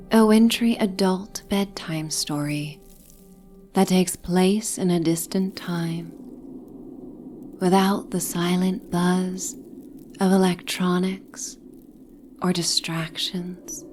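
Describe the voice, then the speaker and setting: A woman with a soft, breathy, near-whispered voice gently narrating a soothing guided sleep meditation and bedtime story. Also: on the low side. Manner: gentle, soothing, hypnotic, with long pauses.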